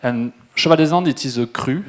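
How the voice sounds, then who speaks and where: A middle-aged French man with a French accent, presenting at a wine seminar in a halting way, with many fillers and restarts. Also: medium pitch. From France. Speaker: winemaker. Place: event room with a live audience.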